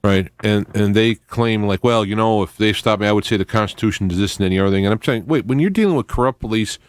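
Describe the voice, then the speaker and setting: A man's voice: lowish voice, quick pace, clear, resonant tone, dry recording. A middle-aged man hosting a radio interview, explaining his points at length in colloquial, conversational language. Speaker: radio host.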